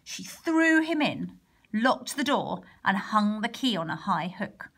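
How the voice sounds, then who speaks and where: A woman reading a picture book aloud to young children, expressive, with chatty exclamations and questions along the way. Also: medium pitch, slow, husky. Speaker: primary teacher reading a storybook to children. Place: indoors, picture book held over a wooden table.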